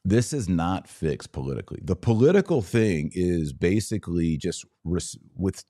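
A man's voice: low-pitched, big pitch swings, moderate pace, mellow. An American man hosting a podcast, giving emphatic, opinionated live commentary on politics and religion in short clipped phrases. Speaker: podcast host.

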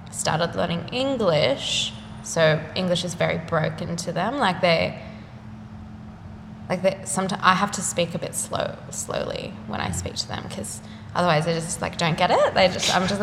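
A young woman, an artist chatting casually in an interview, full of fillers and quick laughs. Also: lowish voice, big pitch swings, vocal fry. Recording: echoey room with faint noise.

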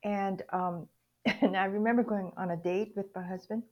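An older woman from Hawaii telling personal stories as an interview guest, reflective and halting, with a husky voice. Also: mid-range voice, fairly even intonation, dull audio. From Hawaii, United States. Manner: reflective, halting, acts out remembered dialogue.

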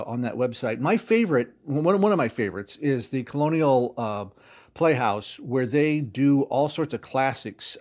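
A man's voice: medium pitch, a little mellow. A man speaking as an interview guest, explaining things in a conversational way. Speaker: interview guest, audio drama producer.

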